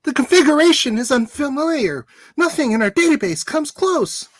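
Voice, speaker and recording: A woman's voice: high-pitched, sing-song, normal speed, dry recording. A woman playing an alert starship officer in an amateur radio drama, giving technical, matter-of-fact reports. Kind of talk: amateur radio theatre reenactment.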